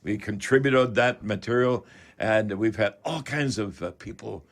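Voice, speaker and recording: A man's voice: on the low side, unhurried. An older man with a Canadian accent hosting a chatty radio call-in show in a folksy, rambling manner.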